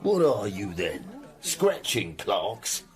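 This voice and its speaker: An actor playing a taunting, contemptuous armed killer in a period radio drama, with a gravelly voice. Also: male voice, fairly low pitch, sing-song, slow pace, over music. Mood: mocking contempt. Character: sneering, menacing armed male killer in Tudor London.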